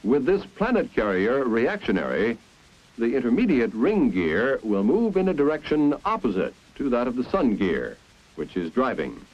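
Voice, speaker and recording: An American man reading scripted 1950s instructional film narration in a clear, deliberate, explanatory manner. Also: mid-range voice, sing-song. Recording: dull, band-limited 1950s film soundtrack.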